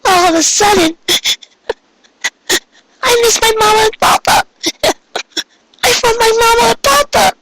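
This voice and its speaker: A man voicing a distraught young female cartoon pony, tearful and childlike, pleading in a squeaky voice and repeating herself. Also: lively intonation, measured pace, pauses often, heavy background noise. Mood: tearful, homesick. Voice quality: shrill, squeaky. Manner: pleading, repetitive, wailing.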